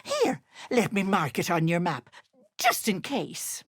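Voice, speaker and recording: Sweet old Irish auntie, folksy and fussing, slow lilting voice, dry studio sound. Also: female voice, lowish voice, wildly swooping pitch, husky, dry recording, exaggerated voice. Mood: cozy, motherly. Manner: folksy and fussing, calling people love. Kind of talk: video game voice acting.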